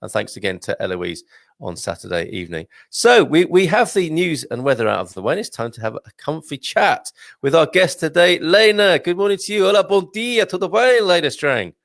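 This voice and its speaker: A man's voice: fairly high pitch, very animated, fairly quick, gravelly, fairly bright tone, no room sound. Enthusiastic man hosting, dry close sound. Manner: friendly, enthusiastic, with hesitant restarts. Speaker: host. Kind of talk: casual chat show hosting.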